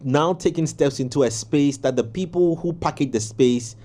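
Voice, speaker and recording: A man's voice: fairly high pitch, moderate pace, warm tone. A man on a studio music panel, reflecting on earlier predictions and hedging with frequent "uh" and "um". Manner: reflective, hesitant with many "uh" and "um" fillers. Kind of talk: casual studio panel discussion.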